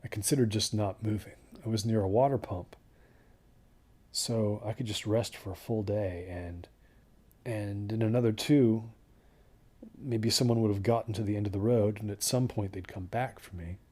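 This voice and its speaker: Audio drama survivor played by a man: low, soft dark timbre, haunted and halting, sinking toward a hush. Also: breathy edge. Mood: weary, reflective. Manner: halting, hushed, trailing into near-whispers. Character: shaken male desert-hike survivor, haunted and weary. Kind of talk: audio drama monologue, first-person recollection.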